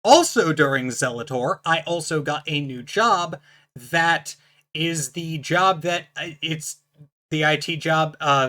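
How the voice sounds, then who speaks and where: A host speaking straight to the viewer with a powerful, distinct voice and a bright, sharp edge to its tone. The delivery is casual but emphatic, setting out firm rules in a blunt, lecturing way. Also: mid-range voice. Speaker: channel host reviewing a book. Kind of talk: casual explanatory talk to camera.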